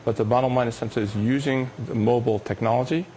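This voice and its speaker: Man, company executive, quick delivery, creaky voice, enthusiastic explaining, TV interview, noisy background. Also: mid-range voice, steady tone, dark tone. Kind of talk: live business TV interview, promotional explaining.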